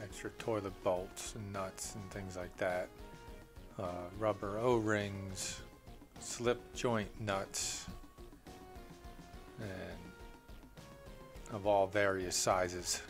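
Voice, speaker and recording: A man with a fairly low voice talks through his plumbing tools off camera, recorded on a handheld camera indoors. He speaks plainly and without hurry, often pausing on "uh". Faint music sits under his voice. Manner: plain, unhurried, with frequent "uh" fillers. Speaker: plumbing contractor. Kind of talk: off-camera tool walkthrough.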